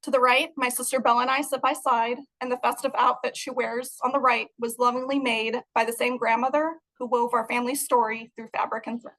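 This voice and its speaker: High-voiced woman presenting her art. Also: little pitch movement, a little fast, few pauses, a bit bright, rough recording. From Moldova. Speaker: guest artist. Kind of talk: prepared presentation talk. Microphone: video call.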